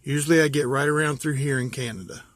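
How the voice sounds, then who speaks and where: An American man from West Virginia talking casually and informally as he shows things, pausing to think aloud. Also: medium pitch, fairly even intonation, fairly bright tone, no echo, studio quality. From West Virginia, USA. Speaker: hobbyist vlogger. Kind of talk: casual show-and-tell.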